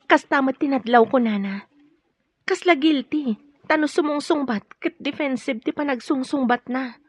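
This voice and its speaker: A woman's voice: sits high, normal speed, no room sound, clean studio sound, natural voice. A woman with an Ilocano accent playing an earnest, questioning young student daughter in a radio drama, on a dull lo-fi recording. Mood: insistent, suspicious. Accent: Filipino, Ilocano. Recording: dull lo-fi audio, faint chatter.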